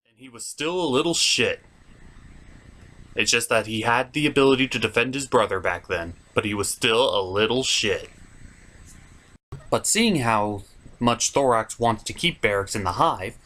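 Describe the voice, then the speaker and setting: A man's voice: medium pitch, moderate pace, long pauses, sharp tone. A man giving a comedic recap as a cartoon reviewer, sarcastic and mocking in manner.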